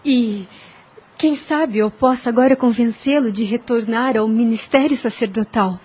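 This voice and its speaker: Actress as gentle, husky young woman in love. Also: a little high, unhurried. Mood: hopeful. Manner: earnest, formal, sentimental diction. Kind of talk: Spiritist radio drama acting.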